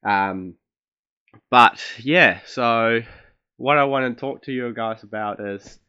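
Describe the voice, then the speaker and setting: A man's voice: mid-range voice, takes it slowly, clean tone, dry recording, highs cut off. A young man from Chile hosting his own podcast, talking in a rambling, hesitant, self-deprecating way, with an accent that blends American and Australian. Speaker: podcast host. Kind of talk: casual solo podcast monologue.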